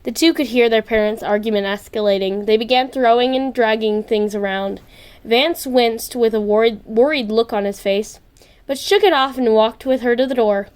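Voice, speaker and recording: High-voiced woman reading a story. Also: moderate pace, a little mellow, smooth. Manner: stumbles and rereads words. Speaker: amateur podfic narrator. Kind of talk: expressive story narration.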